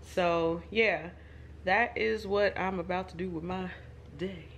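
A woman's voice: fairly low pitch, fairly even intonation, slow. Casting: woman vlogger, chatty and self-deprecating, faint background hiss. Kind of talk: casual vlog talking to camera. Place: home, then in her car. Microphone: phone or camera, self-filmed.

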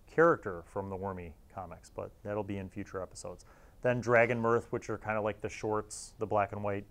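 A man in his forties with a lowish voice talks to camera as the host of a gaming review series. His delivery is casual and rambling, that of an enthusiastic fan looking back fondly. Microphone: lapel mic.

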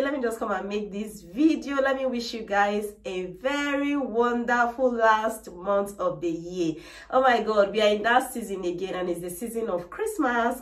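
Chatty woman vlogging in an echoey room. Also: medium pitch. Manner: chatty, frequent "like" and "trust me". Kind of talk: casual chat to camera. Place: bright decorated room indoors. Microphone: camera mic, no microphone. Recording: echoey room, voice a little distant.

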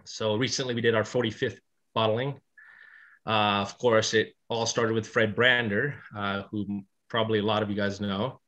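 A man's voice: lowish voice, moderate pace, muffled recording. A man from California, a winemaker, telling his story steadily with small fillers on a video call panel. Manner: plain, steady recounting with fillers.

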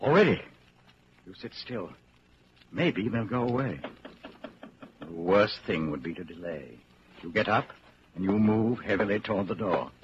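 An actor playing a solemn, ominous narrator in a 1940s radio drama, speaking deliberately and with suspense. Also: male voice, on the low side, expressive. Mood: tense. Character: solemn, ominous male narrator addressing the listener. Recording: muffled noisy 1940s radio broadcast.

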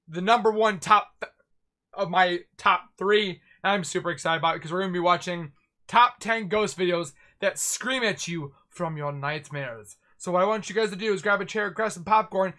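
A young man hosting a reaction video, chatting excitedly while sick with a scratchy voice, on a headset in a small gaming room. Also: high-pitched. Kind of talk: casual reaction commentary.

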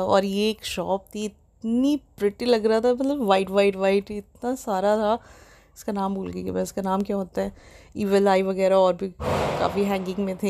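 A young woman talks through her trip in a casual, chatty voice-over, quick to get excited. Her pitch sits in the middle of the usual range. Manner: chatty, excitable, mixes English into Hindi. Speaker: travel vlogger. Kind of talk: casual travel vlog voice-over.

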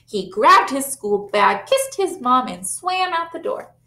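A young woman teacher reading a picture book aloud to children, animated and playful, with big gasps and leaps in pitch. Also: measured pace. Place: small classroom, indoors. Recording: echoey classroom.

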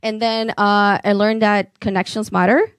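A woman's voice: mid-range voice, flat delivery, a little slow, no room sound, studio quality. A young woman in her twenties from Ecuador, giving earnest, encouraging advice to students into a handheld mic in a conference room. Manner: earnest, encouraging, many fillers. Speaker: graduate speaker.

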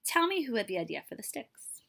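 A stern old storybook village leader, acted out by a woman reader. He speaks formally and with deliberation, in a husky, fairly high voice with animated pitch. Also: fairly quick, heavily acted. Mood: questioning.

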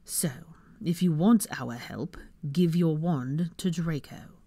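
A woman's voice: deep voice, takes it slowly, warm tone, breathy edge, no room sound, full character voice. A woman voicing a haughty middle-aged male wizard in an audiobook story, with a controlled, sarcastic drawl. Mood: stern, condescending.

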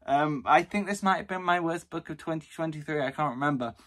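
A young man chatting casually to camera, rambling with exaggerated rants while his voice is going hoarse. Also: a little high, brisk, a bit bright. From Britain. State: losing his voice. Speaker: book vlogger.

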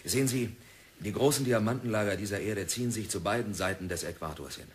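An actor playing a stubborn, zealous young geologist in a German radio play, speaking earnestly and insistently, with deference to a superior. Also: male voice, fairly low pitch, fast, lightly acted. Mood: earnest conviction. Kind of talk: German radio drama acting.

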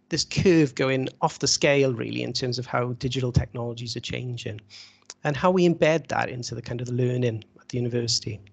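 Creaky-voiced man giving an online lecture. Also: medium pitch. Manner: explanatory, conversational, rhetorical questions. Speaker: university lecturer presenting at a webinar. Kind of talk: informal slide-led lecture. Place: online video call. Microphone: video call microphone.

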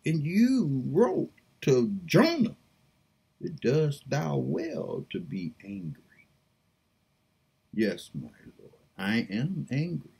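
A woman prays aloud and reads scripture with fervent, pleading feeling. Her voice is husky and breathy, and she drags out her words very slowly. Her pitch swoops between low murmurs and high cries. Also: big pitch swings, mellow. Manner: fervent, pleading, slow and drawn out. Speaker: preacher. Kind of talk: impassioned prayer and scripture reading.

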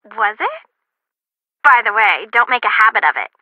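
A cheeky student sidekick in a detective game. Her high, theatrical voice swings widely in pitch, breezy and wry, through a dull phone filter. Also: sing-song, normal speed, bright, forceful tone, no room sound. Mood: dry, offhand. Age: young adult. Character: chatty, cheeky young female criminology student sidekick. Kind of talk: video game character acting over the phone. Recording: muffled phone-like sound.

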